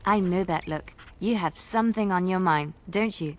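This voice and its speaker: An actress playing a polite, slightly prim companion in a fantasy video game, speaking in a tactful, genteel way. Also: female voice, fairly low pitch, normal speed, lightly acted. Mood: tactful, hesitant. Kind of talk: video game character dialogue. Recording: music behind the voice.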